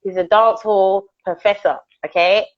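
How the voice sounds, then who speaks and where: A woman hosting a casual live-stream interview, chatty and excitable, greeting viewers by name. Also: mid-range voice, unhurried. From United Kingdom. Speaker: host. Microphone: phone on a live video call. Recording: dull lo-fi live-stream audio.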